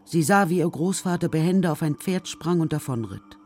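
Slightly high-voiced male narrator. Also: animated, normal speed, darkish tone, soft background music. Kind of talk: scripted narration of a children's radio play.

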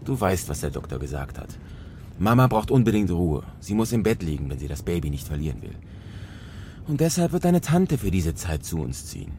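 A man's voice: low-pitched, sing-song, a little fast, darkish tone, slight background noise. A man acting a firm but loving truck-driver father in a German children's radio drama, coaxing and admonishing. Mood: exasperated.

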